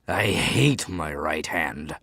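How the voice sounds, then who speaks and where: A man playing a sly, menacing devil in an audiobook, with low, formal and deliberate speech. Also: animated, vocal fry, bright, forceful tone, husky, no echo, clean studio sound, heavily acted. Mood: grudging. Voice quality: low, growling utterance. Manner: formal, deliberate, archaic phrasing. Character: hooded devil, menacing, sly, resentful beast. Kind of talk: dramatized audiobook acting.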